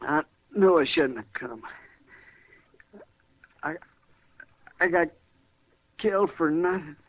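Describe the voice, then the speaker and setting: Jumpy, high-pitched frontier stable hand. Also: male voice, slow pace. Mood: wounded, dying. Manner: hesitant, stammering, folksy. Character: jumpy, fearful stable hand, easily rattled. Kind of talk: 1950s radio western acting. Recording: dull, lightly noisy old radio recording.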